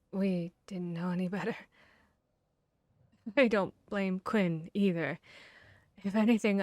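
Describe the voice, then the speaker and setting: A woman playing a wry, haunted young vampire hunter, confiding softly and hesitantly in an ASMR fantasy roleplay. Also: mid-range voice, dry recording, studio quality, lightly acted. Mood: gentle, regretful.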